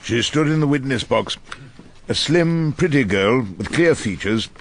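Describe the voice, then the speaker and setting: A man's voice: mid-range voice, expressive, a little slow. An actor playing an irascible, witty, ageing male barrister in a radio drama, courtly and ironic as he argues and narrates. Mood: wry narration. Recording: noticeable background noise and ambience.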